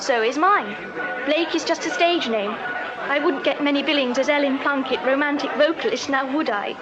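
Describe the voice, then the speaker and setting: An actress playing a poised young Victorian music hall singer in a radio drama, formal and forthright. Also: female voice, high voice, normal speed, close to an everyday voice. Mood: poised, probing. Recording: muffled radio drama, crowd behind.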